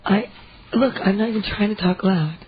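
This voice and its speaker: A young woman co-hosting a radio show, with a deep, bassy voice and a playful, teasing manner. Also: highs cut off, slight background noise. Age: young adult. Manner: playful, quick to tease back. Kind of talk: casual chat. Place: small radio studio. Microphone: studio mic.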